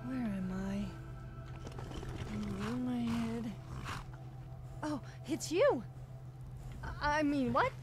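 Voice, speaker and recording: Fantasy game follower, played by a woman: scatterbrained, boastful young troublemaker, fairly high voice, theatrical sass. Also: lively intonation, very slow, long pauses, music in the background. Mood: flustered. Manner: sassy, theatrical, self-important. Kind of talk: scripted fantasy game character dialogue.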